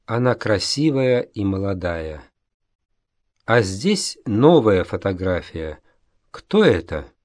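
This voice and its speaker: A man playing a polite young Japanese man named Tsubasa in a language-course dialogue, reading in a careful, deliberate way. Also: on the low side, sing-song, a little mellow, no echo, clean studio sound. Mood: plain. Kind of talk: slow, clear textbook dialogue reading.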